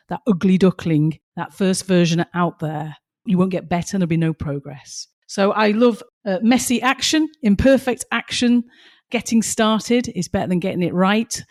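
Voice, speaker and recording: A woman's voice: on the low side, darkish tone. A woman co-hosting a podcast, telling stories in a passionate, emphatic way, her voice slightly strained as if she is losing it. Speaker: podcast co-host. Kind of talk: casual podcast chat with stories.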